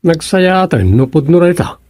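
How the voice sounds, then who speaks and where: An actor playing a chatty, daydreaming village man in a comic radio serial, with an Ilocano accent and a husky, gravelly voice. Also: a little high, big pitch swings, normal speed, a little mellow, no room sound, studio quality. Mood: gossipy. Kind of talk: comedic radio serial dialogue.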